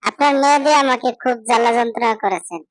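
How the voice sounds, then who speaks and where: A woman's voice: on the high side, fast talker, no echo, natural voice. A woman voicing an aggrieved, complaining widowed mother of a grown son in a cartoon. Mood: reproachful. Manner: complaining, pleading.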